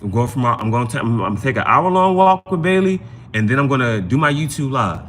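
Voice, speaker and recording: A man's voice: mid-range voice, very animated, fast talker, a bit bright, slight background noise. A man hosting a casual live tarot reading, giving advice conversationally and often repeating his phrases. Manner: conversational, repeats phrases and adds "you know what I'm saying".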